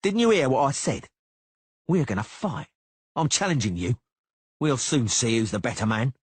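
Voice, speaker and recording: A man voicing a boastful, hot-headed young swordsman who jeers and shouts challenges. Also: medium pitch, big pitch swings, moderate pace, husky, dry recording, pitched above the performer's own voice. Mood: shouting. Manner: loud, jeering, challenging.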